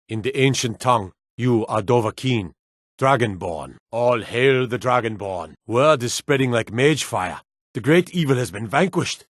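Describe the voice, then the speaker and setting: An actor playing a gruff town guard in a fantasy video game, speaking in terse, emphatic, gossipy remarks. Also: male voice, medium pitch, dry recording, studio quality, natural voice. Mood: awed, respectful. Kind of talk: fantasy game character barks.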